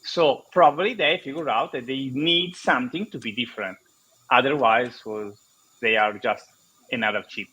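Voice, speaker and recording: A man from Italy with an Italian accent, a guest explaining ideas in halting English with many restarts, over a video call. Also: medium pitch, bright, forceful tone, breathy edge, lo-fi sound. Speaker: guest speaker. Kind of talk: online conference interview.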